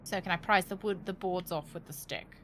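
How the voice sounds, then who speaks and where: A woman's voice: fairly low pitch, a little fast, a bit bright, slight background noise. A woman doing casual live game commentary, thinking aloud in a playful, cheeky, rambling way and swearing freely. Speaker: game streamer.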